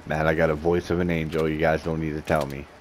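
A man's voice: rumbling low, level tone, fast talker. A man casually commenting over his gameplay, laid-back and self-mocking, talking straight to his viewers. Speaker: gaming commentator. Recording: faint background noise.

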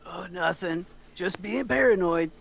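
Casual, teasing monster-team guy, read by a man, high male voice with gravelly fry, script drama. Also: measured pace, a bit bright, slight background noise, full character voice. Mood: uneasy. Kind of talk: amateur script table read.

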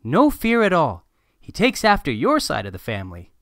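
A man's voice: fairly high pitch, huge pitch swings, normal speed, fairly bright tone, no echo, clean studio sound, voice pushed up, heavily acted. A man reading the part of a proud, questioning mother in a picture book, with emphatic motherly talk. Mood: proud, excited.

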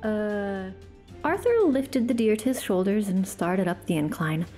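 A woman hosting a podcast and giving a dramatic reading of a fantasy story, turning hushed in tense passages. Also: medium pitch, very animated, normal speed, faint music behind. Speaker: podcast host and reader.

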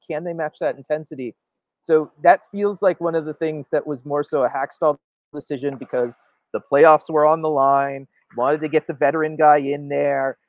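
A man's voice: a little high, no echo, highs cut off. A man co-hosting a sports show, giving emphatic opinionated commentary and often checking for agreement. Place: indoor room, video call.

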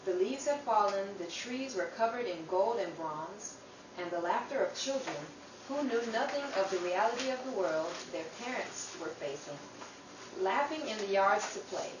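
An earnest youngest sister from a Depression-era radio play, acted by a woman, speaks at a middling pitch like a storyteller. The recording is roomy and off the mic, with clear noise behind her. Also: animated, normal speed, lightly acted. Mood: earnest, reflective. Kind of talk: old time radio drama acting. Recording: distant, echoey room with clear background noise.

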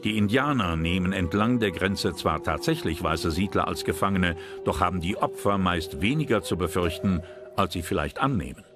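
Male narrator, deep voice, scripted documentary delivery, music underneath. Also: animated, moderate pace.

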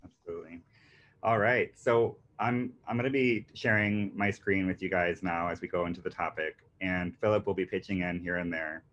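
A man in his thirties presents a business workshop from home over a video call. His voice sits very low, and he talks earnestly, with plenty of fillers and restarts. The call audio sounds dull and lo-fi. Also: steady tone, moderate pace, a bit bright. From grew up in Panama. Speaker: marketing agency founder presenting a workshop. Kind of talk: webinar presentation. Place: indoors on a video call with a virtual beach background. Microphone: computer mic on a video call.

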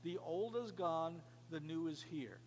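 An American man in his fifties from New York, a pastor giving an earnest, emphatic sermon with repeated phrases and pauses. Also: a little high, a little mellow. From New York, United States. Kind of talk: sermon to a small congregation. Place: indoors, cafeteria used as a church hall. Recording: clearly noisy room recording with hum.

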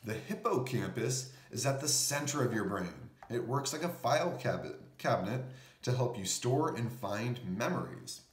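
Man reading aloud with lively, swooping pitch. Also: moderate pace, reverberant. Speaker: storybook reader. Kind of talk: children's picture book read-aloud.

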